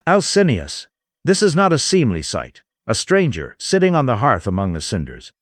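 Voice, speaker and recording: A man's voice: mid-range voice, huge pitch swings, moderate pace, dry recording, studio quality. A man playing an eloquent old chief in an epic audio drama, speaking with formal, pleading oratory. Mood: earnest, urging. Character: eloquent old chief counselling his king, courteous, urgent.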